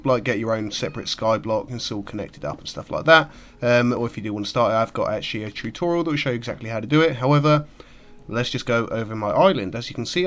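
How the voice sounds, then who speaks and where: A man giving casual, rambling commentary while playing a game, sounding nasal from a cold. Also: medium pitch. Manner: chatty, rambling with fillers. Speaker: gaming YouTuber. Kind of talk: casual let's-play commentary.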